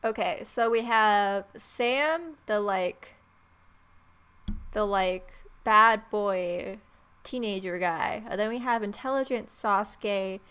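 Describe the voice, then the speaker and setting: A woman's voice: mid-range voice, slow pace, fairly bright tone, clear, resonant tone. A woman hosting a let's play, reading a visual novel aloud with playful, irreverent casual commentary.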